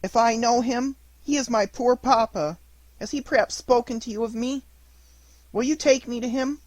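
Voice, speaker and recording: A woman voicing an anguished wooden boy puppet, high and wailing as he pleads. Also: moderate pace, no room sound, close to an everyday voice, rough recording. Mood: eager. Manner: high wailing sobbing delivery.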